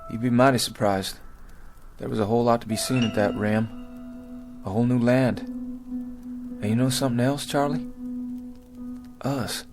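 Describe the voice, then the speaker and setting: An actor in a radio drama playing an earnest, bewildered pioneer husband with a halting, stammering delivery. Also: male voice, medium pitch, steady tone, over music, natural voice. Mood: quiet awe.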